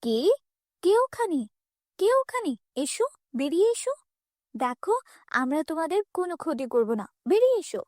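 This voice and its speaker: A woman's voice: extremely high pitch, sing-song, moderate pace, pauses often, a little mellow, dry recording, clean studio sound, voice pushed up. A woman voicing a commanding but caring female fairy who questions with authority in a cartoon. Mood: alert, commanding. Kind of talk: animated fairy-tale cartoon.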